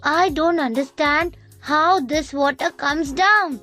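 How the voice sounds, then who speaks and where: A woman plays a naive little boy in an educational cartoon. The high, childlike voice speaks slowly and with wonder, over faint music. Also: bright, forceful tone, full character voice. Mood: puzzled, wondering.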